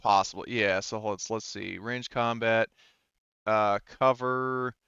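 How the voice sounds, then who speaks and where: A man's voice: lowish voice, steady tone, takes it slowly, a bit bright, smooth, no room sound. A man talking casually and informally as game master of a tabletop role-playing game, thinking through rules aloud, with slightly muffled audio. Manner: informal, occasionally swearing. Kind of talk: casual game-running chat over rules and dice.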